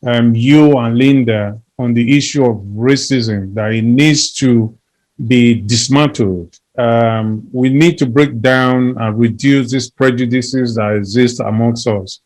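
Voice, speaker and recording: A man's voice: medium pitch, slow, darkish tone. Earnest man speaking in repeated lists. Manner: emphatic, repeats phrases, builds lists. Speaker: interview guest. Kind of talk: earnest conversational advocacy.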